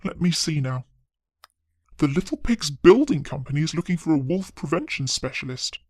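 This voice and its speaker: A woman's voice: deep voice, animated, normal speed, no echo, studio quality, voice pushed down. A woman voicing a practical male farmer in a children's story, in a businesslike manner.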